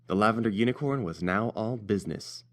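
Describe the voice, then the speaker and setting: A man's voice: lowish voice, expressive, brisk. A man reading scripted story narration as the narrator.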